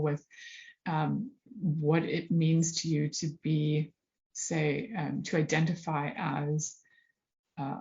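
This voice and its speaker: A woman's voice: sits low, a little mellow. A woman speaking candidly in a casual monologue, rambling and correcting herself, often laughing. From Serbian family background. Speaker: host. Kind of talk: casual monologue on health and sexuality. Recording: dull lo-fi sound.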